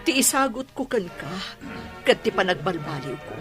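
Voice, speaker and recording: A woman's voice: mid-range voice, big pitch swings, moderate pace, natural voice. A woman playing a remorseful middle-aged mother in an emotional radio drama, with a Filipino accent. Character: remorseful middle-aged mother begging her family's forgiveness. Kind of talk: Ilocano radio drama acting. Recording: music bed behind voice.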